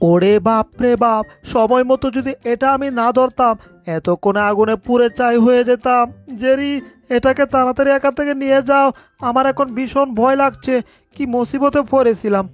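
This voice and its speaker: A woman's voice: high-pitched, moderate pace, soft background music, close to an everyday voice. A woman voicing a panicky, put-upon male cat in a cartoon dub, exclaiming and fretting to itself. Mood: frightened. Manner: exclaiming, fretful muttering to itself.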